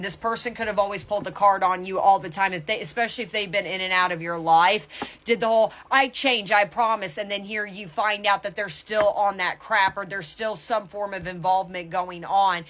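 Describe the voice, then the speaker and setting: A woman's voice: medium pitch, fairly bright tone. A woman tarot reader talking casually and candidly to her viewers through an intuitive reading, with emphatic asides.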